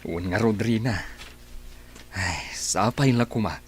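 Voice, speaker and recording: A man acting as a calm, agreeable husband and father in a radio play. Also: fairly low pitch, takes it slowly, slight background noise.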